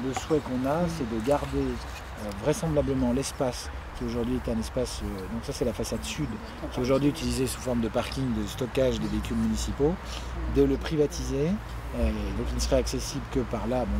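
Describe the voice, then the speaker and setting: Frenchman presenting amid clear street noise. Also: medium pitch, steady tone, fast. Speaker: local official presenting a building project. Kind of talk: informal project presentation to visitors. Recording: noisy outdoor urban ambience, vehicles.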